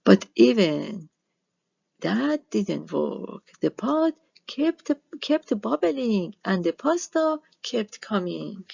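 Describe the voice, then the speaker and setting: Animated woman reading a storybook aloud. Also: mid-range voice, extremely slow, gravelly, slightly rough, no echo, muffled recording. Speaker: storybook reader. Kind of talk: expressive read-aloud narration for children.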